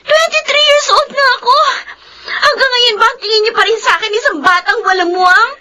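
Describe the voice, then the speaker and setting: A woman's voice: very high-pitched, a little fast, noisy background. An actress playing a resentful blind younger sister in her twenties in a Filipino radio drama, speaking in an emotional, protesting way. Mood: tearful, angry.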